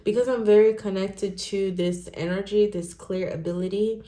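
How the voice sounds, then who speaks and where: A woman's voice: mid-range voice, normal speed. A woman from Nigeria, speaking English as a second language, giving a casual tarot reading to viewers in a chatty way, often tagging her sentences with "okay?" and "right?". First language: not English. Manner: chatty, animated, many "okay?" and "right?" tags. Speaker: tarot reader. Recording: roomy echo.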